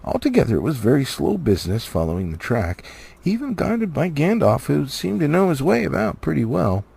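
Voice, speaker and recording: A man reading a storybook aloud in an expressive narrative style, as an amateur audiobook reader. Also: fairly high pitch, brisk, creaky, husky.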